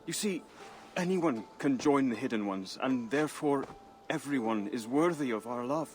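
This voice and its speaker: Guy acting polite devoted brotherhood member in a game cutscene, mid voice, soft-mannered and sincere, bit of music. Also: mid-range voice, lively intonation, normal speed, lightly acted. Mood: earnest, humble. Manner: polite, gentle, formal. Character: modest, earnest, devoted male disciple of a secret brotherhood. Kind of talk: dramatic video game acting. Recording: light music behind the voice.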